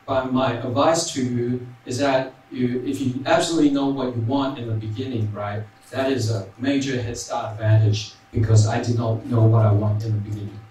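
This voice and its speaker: A man's voice: medium pitch, darkish tone. A young man with an Australian accent reading prepared points on a panel into a handheld mic, with many ums and tag "right?"s. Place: large hall. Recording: very echoey hall, distant mic, faint noise.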